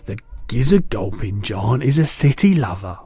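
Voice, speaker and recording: A man reading aloud and voicing a big, kindly male giant who mangles his words, in a louder and higher voice than his own. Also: very animated, moderate pace, slightly rough, no echo, full character voice. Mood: earnest. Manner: jumbled made-up words, booming and eager.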